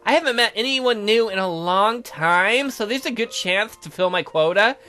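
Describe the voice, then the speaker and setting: A man's voice: sits high, normal speed, sharp tone, faint music behind, pitched above the performer's own voice. A man voicing a brash, bossy teenage schoolgirl in a visual novel, blunt and cheeky. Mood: pushy.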